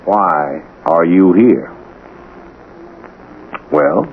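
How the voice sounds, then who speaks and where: A gruff, cynical homicide cop in an 1980s radio drama. He is blunt and doubting, in a lowish voice. The recording is thin and tinny with a faint hiss. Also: expressive, drawn-out words, full character voice. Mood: cynical, pressing. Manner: gruff, skeptical, hard-boiled. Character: cynical, blunt male homicide police lieutenant. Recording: tinny, noisy old radio broadcast.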